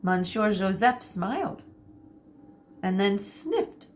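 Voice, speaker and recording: A woman teacher reading a children's book aloud, pausing to explain words and giving the characters lively voices. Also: medium pitch, slight background noise. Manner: explains words for kids mid-story.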